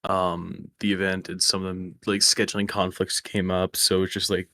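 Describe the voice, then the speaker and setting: A man with a slightly raspy voice, rambling through a story in casual chat over a voice call. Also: sits low, flat delivery, normal speed, bright, forceful tone. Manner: rambling, self-correcting storyteller. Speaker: stream guest. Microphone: close microphone.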